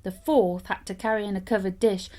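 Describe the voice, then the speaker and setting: A woman reading a fairytale aloud as an expressive storyteller. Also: mid-range voice, brisk.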